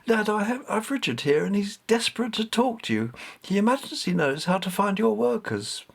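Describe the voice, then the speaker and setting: A man's voice: high-pitched, sing-song, normal speed, vocal fry, breathy edge, voice pushed up, full character voice. Man voicing an anxious young mother.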